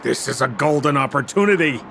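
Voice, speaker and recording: A man's voice: mid-range voice, lively intonation, brisk, vocal fry, fairly bright tone, a little hiss or hum. An actor playing a flamboyant, silver-tongued young con man in a video game, with theatrical, ingratiating sales patter. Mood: sly, ingratiating. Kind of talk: animated video game voice acting.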